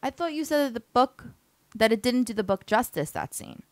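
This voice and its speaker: A young woman co-hosting a casual chat, teasing and quick in her back-and-forth. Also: fairly high pitch, lively intonation, no room sound. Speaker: co-host. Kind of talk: casual banter.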